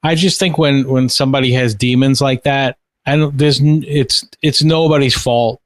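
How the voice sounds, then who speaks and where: A male podcast host with a mid-range voice speaks in a thoughtful, even way, offering reflections to his guest. His voice is close and dry, with no room sound. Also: mid-range voice, moderate pace, no echo. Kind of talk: casual interview chat.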